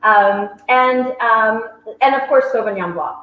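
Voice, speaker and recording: A woman's voice: fairly high pitch, unhurried. A woman hosting a virtual wine tasting, chatty and full of ums. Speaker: wine shop host. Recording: roomy, dull sound with faint hiss.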